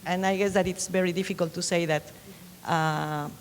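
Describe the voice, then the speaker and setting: A woman's voice: fairly low pitch, steady tone, normal speed, big-room sound, a little hiss or hum. A woman with a Mexican Spanish accent, Spanish being her first language, speaking on a panel and searching for her words as she goes. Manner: searching, hesitant phrasing. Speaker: panelist author. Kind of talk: panel discussion. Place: lecture hall.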